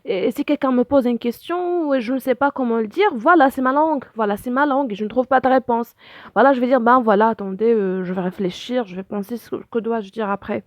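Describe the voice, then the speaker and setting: Higher-voiced Arabic-speaking woman teaching French. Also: fairly quick, dry recording, highs cut off. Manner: many fillers, repeats "voilà" often; searches for words, self-correcting. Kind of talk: spoken lesson to camera.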